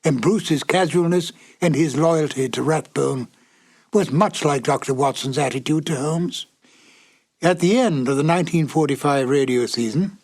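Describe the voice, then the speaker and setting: A man giving measured, formal scripted narration as a presenter of vintage radio. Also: a little high, darkish tone, slightly rough.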